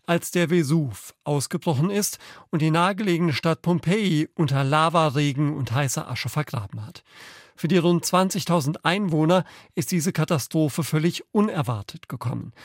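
A man's voice: on the high side, no echo, clean studio sound. A man hosting a radio programme, presenting scripted introductions. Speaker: radio host.

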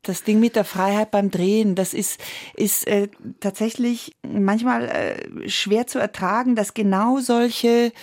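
A woman, an actress whose first language is Italian, answering interview questions in a reflective, searching way with frequent hums and soft laughs. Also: mid-range voice, fairly even intonation, measured pace. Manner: thoughtful, searching, with many hums and small laughs.

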